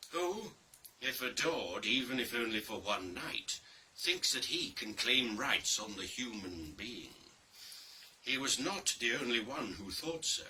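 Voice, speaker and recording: A man reads a dark story as dramatic scripted narration, theatrical and deliberate, with pauses and heavy emphasis. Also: on the low side. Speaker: reader of a play. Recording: distant mic with faint background noise.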